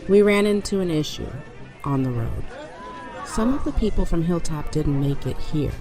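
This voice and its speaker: A man's voice: fairly high pitch, animated, moderate pace, music in the background, crowd noise. A man acting a grave, practical survivor in an audio drama.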